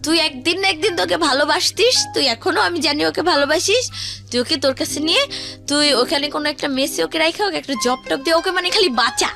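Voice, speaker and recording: A woman's voice: sits high, fairly quick, gravelly, sharp tone. A young woman with a Bangladeshi accent telling a personal story conversationally, rambling and acting out what others said. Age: teens to young adult. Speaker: guest telling their life story. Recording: music behind the voice.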